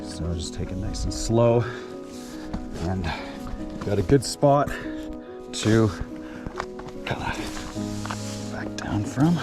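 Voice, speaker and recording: A man with a naturally loud voice giving casual commentary outdoors on a mountain trail, relaxed, with many filler uhs. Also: lowish voice, slow, long pauses. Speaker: trail running vlogger. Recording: music playing behind the voice.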